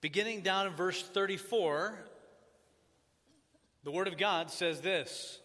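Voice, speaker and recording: A man who grew up in Tanzania, preaching as a pastor in a church, praying and then teaching in a measured, earnest cadence. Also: high-pitched, sharp tone. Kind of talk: sermon with opening prayer. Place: church sanctuary. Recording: church hall reverb.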